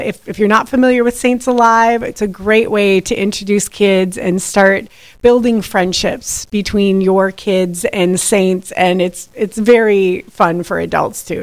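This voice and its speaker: A woman in the host's chair of a radio talk show speaks at an ordinary mid pitch. She is friendly and conversational, and stumbles a little between thoughts. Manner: friendly, with fillers and restarts. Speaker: radio host and executive director.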